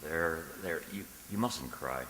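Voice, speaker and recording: Man acting an earnest low-voiced suitor in radio drama. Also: animated, slow pace, bright, forceful tone, echoey room, in a large hall, slight background noise, heavily acted. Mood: tender concern. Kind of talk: radio drama acting, journal narration and dialogue.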